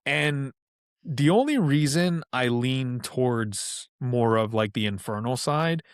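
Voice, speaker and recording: A man's voice: mid-range voice, expressive, slow pace, clear, resonant tone, dry recording, studio quality. A man guesting on a podcast, telling stories in a loose, chatty way with lots of "like" and casual swearing. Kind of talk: casual storytelling chat.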